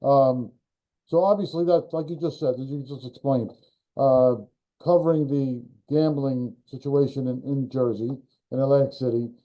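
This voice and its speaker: A man hosting an interview, asking questions in a halting way while he searches for words. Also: mid-range voice, steady tone, highs cut off. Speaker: podcast host. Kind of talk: casual interview.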